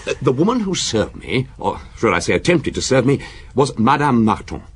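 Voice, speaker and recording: An actor playing a deferential, dryly amused police subordinate in a radio drama, reporting in a deliberate storytelling way with small hesitations. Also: male voice, medium pitch, sing-song, slightly rough. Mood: confessional, amused.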